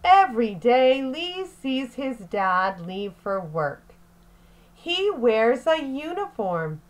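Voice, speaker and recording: A woman teacher reading aloud to young children in a deliberate, phrase-by-phrase way, as in an expressive picture-book lesson. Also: fairly high pitch.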